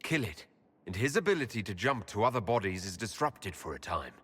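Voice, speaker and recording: A man playing a resolute elven hero in a fantasy video game, solemn and dry, with measured dramatic delivery. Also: on the low side, big pitch swings, fairly bright tone, breathy edge, exaggerated voice. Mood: determined.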